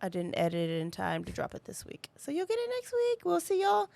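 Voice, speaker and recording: A woman's voice: sits high, wildly swooping pitch, a little fast, gravelly, dark tone. A woman co-hosting a podcast in casual, unscripted chat, talking in an informal, slangy way. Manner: informal, slangy, says "y'all" and "like". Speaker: podcast co-host.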